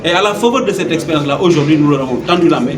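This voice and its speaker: A man's voice: a little high, rapid-fire, a crowd in the background. Male official, Senegalese accent, rhetorical and emphatic press-statement delivery, roomy echo, recorded away from the mic. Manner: emphatic, rhetorical, repeats phrases for weight. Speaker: director general of a local development agency. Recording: roomy, distant mic, clearly noisy surroundings.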